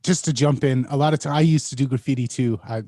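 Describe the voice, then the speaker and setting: A man chimes into a casual conversation in an easygoing way. His voice is mellow and warm, recorded dry and close, at a middling pitch. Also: fairly even intonation, quick pace, dry recording. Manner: easygoing, laughs while telling. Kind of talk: casual chat.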